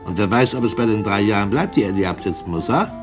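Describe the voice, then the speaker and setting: An actor in a radio drama playing a sly, sinister drug dealer and blackmailer, mockingly polite, smug and cajoling. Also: male voice, fairly low pitch, quick pace, music in the background, close to an everyday voice. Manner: smug, cajoling, mock-courteous, chuckling. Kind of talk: mid-century German radio drama acting.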